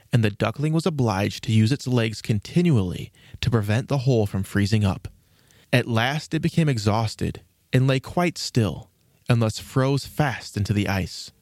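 A man narrating a classic fairy tale in an expressive, old-fashioned storytelling manner. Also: medium pitch, no echo, clean studio sound.

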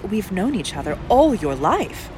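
Woman as a lively, curious tree-turned-human. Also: mid-range voice, measured pace, a little hiss or hum, rough recording. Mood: playful, pleased. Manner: teasing, theatrical, questioning. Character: genderless ancient oak tree turned human, playful, curious. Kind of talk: radio play acting.

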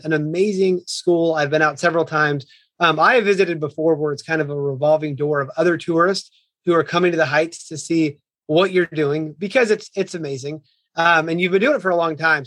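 A fairly high-voiced man hosting a podcast, eager and friendly as he introduces his guest and asks questions. The sound is dry and close, with no room around it. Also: fairly bright tone, no room sound. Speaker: podcast host. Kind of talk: podcast interview.

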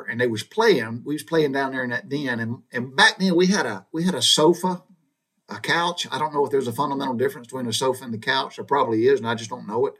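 A Southern man from Georgia spins tales from his boyhood in a loose, folksy drawl, circling back and restarting his sentences as he goes. His voice sits at an ordinary middle pitch for a man, easygoing and conversational, like someone talking straight to listeners who have heard his earlier stories. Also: a bit bright. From Georgia, USA. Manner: folksy, meandering, with frequent restarts and asides. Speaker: storyteller recounting his childhood. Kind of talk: casual spoken storytelling.

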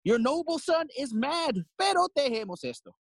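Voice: high-pitched, very animated, normal speed, vocal fry, no echo, studio quality, exaggerated voice. An actor playing a fussy, long-winded old male counsellor in an audio play, theatrical and forever interrupting their own speech. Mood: pompous, rambling. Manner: rambling, theatrical, self-interrupting. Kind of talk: Shakespearean stage acting in audio play.

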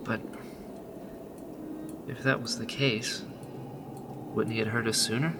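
Man playing slow-spoken, troubled rebel medic. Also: medium pitch, fairly even intonation, noisy background. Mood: troubled, puzzled. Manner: questioning, earnest. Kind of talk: sci-fi radio drama acting.

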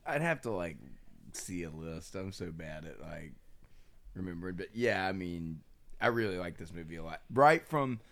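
A man's voice: low voice, animated, normal speed, creaky, a bit bright. Rambling, jokey man on a podcast. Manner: rambling, filler-heavy, jokey. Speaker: podcast guest. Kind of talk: casual podcast chat.

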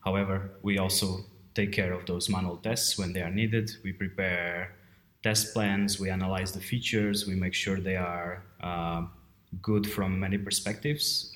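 A man speaks as an interview guest, in a conversational way. His voice sits low, and the room around him adds a clear echo. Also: a bit bright. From Portugal. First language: Portuguese. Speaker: guest, head of quality assurance. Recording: roomy, echoey sound.

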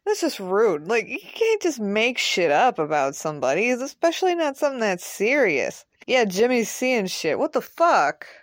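A woman's voice: on the high side, very animated, normal speed, few pauses, dry recording, studio quality. A woman voicing a brash, streetwise male ex-convict with a blunt, slangy, aggrieved manner in an audio drama. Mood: indignant.

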